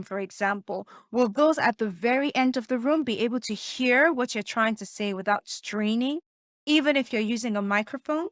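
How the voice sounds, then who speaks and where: Soft-voiced woman coaching with emphasis. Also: fairly high pitch, no room sound, clean studio sound. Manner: emphatic, asks the listener rhetorical questions. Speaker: communication coach. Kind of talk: teaching presentation tips to camera.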